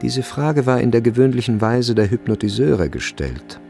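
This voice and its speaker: A man's voice: mid-range voice, very animated, normal speed, gravelly, darkish tone, soft background music. A man narrating a literary story as part of a radio play.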